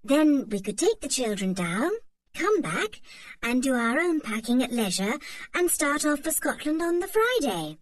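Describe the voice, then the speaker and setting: A woman reading aloud, voicing a gentle, reassuring mother in a children's storybook. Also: sits high, very animated, measured pace, no echo, pitched above the performer's own voice. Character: kindly calm mother of three.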